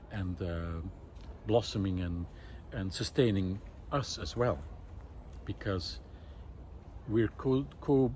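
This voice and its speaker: A man's voice: lowish voice, a little mellow, a little hiss or hum. A man giving an unscripted, reflective monologue outdoors in a wooded park, speaking in a thoughtful, hesitant way. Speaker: vlogger.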